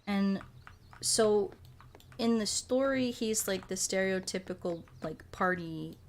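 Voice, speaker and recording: A woman's voice: mid-range voice, slow. A woman streaming a game, chatting casually and thinking aloud in an informal, rambling way. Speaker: streamer and host. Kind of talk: casual livestream chat while playing a game. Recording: music playing behind the voice. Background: music.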